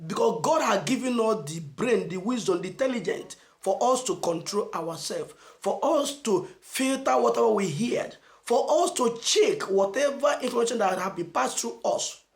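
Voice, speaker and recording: A man's voice: high-pitched, animated, vocal fry. Nigerian man preaching in an echoey room. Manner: emphatic, rhetorical questions, slow stressed phrases. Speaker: channel host. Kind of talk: motivational preaching to camera.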